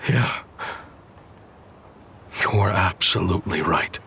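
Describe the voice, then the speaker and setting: A man playing a humbled, sincere uncle in a video game, speaking in a halting, subdued, earnest way. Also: sits low, bright, forceful tone, a little hiss or hum, exaggerated voice. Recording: music clearly behind the voice.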